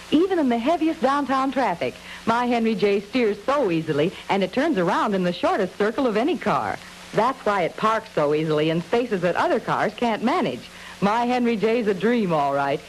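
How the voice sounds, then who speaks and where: A woman's voice: medium pitch, a little hiss or hum, lightly acted, poor audio. A woman playing a delighted car owner giving an emphatic scripted testimonial in a vintage 1950s commercial.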